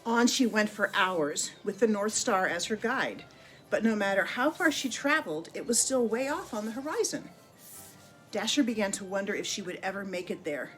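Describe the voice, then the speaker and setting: Woman, mid-range pitch, children's story narration, expressive, deliberate, dramatic pauses, faint music. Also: poor audio. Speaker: storybook reader.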